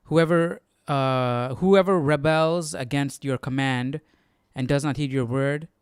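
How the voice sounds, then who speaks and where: A man's voice: mid-range voice, fairly even intonation, slow, clean tone, no room sound, studio quality. A man hosting a casual live Bible study, musing aloud and often checking with a questioning tag. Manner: musing, tags sentences with "right?". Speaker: host.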